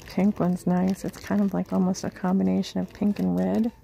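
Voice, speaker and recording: A woman's voice: lowish voice, flat delivery, moderate pace, creaky, warm tone, slight background noise, low-quality recording. A woman in her forties chatting as she shops for vintage items, half-murmuring prices to herself, filmed on a phone held at arm's length. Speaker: vintage reseller vlogger. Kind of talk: casual shop-with-me narration. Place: antique mall, then parked car. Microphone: phone camera at arm's length.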